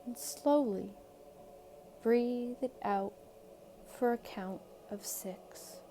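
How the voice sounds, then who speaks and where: A woman leading a guided meditation in a calm, soothing, measured way, leaving long pauses between short phrases. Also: on the high side, a little hiss or hum. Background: background music.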